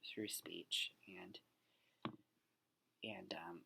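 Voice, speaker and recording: A young man's voice sits very low as he talks through his thoughts on a book for class. He is earnest and unpolished, circling back over his words, and often drifts down into a breathy, half-whispered tone. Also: fairly even intonation, extremely slow, background noise. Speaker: student. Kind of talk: casual spoken reflection on a book.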